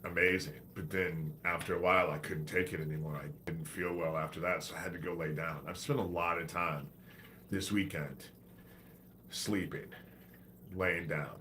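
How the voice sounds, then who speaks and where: A man's voice: deep voice, steady tone, moderate pace, bright, forceful tone, a little hiss or hum. A man hosting a live stream while sick with a congested head cold, talking in a loose, rambling, profane way. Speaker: livestream host. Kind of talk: rambling casual livestream talk.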